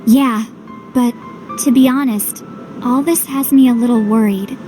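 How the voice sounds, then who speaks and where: A woman's voice: fairly high pitch, a little slow, warm tone, close to an everyday voice. A woman voicing a blunt, modest young heroine in a video game, casual and dutiful. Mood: unsure. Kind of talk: English video game voice acting. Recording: game music under the voice.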